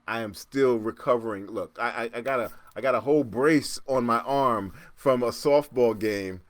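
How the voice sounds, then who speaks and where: A man's voice: on the low side, fairly bright tone, no room sound. A man in his fifties hosting a casual solo podcast, rambling and self-mocking with frequent restarts and chuckles. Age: 50s. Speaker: podcast host.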